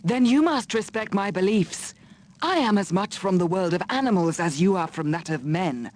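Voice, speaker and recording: Proud, measured warrior woman played by an actress. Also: medium pitch, expressive, close to an everyday voice. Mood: solemn, resolute. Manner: measured, formal, resolute. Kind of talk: video game fantasy acting.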